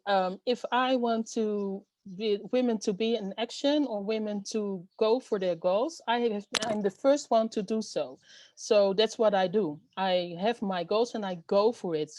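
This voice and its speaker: A woman's voice: medium pitch, darkish tone, dry recording. Middle-aged woman being interviewed, earnest, lots of ums, dry close sound. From Netherlands. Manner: earnest, hesitant with many fillers. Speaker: guest, storyteller and event host. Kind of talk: interview answers.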